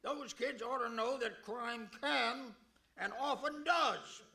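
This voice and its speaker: Shrill, gravelly western outlaw. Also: male voice, takes it slowly, sharp tone, heavily acted. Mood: defiant, aggrieved. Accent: American, Old West drawl. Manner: blustering, folksy, threatening. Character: belligerent western outlaw gunman, bad guy's kid brother. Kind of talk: comic old-time radio western acting.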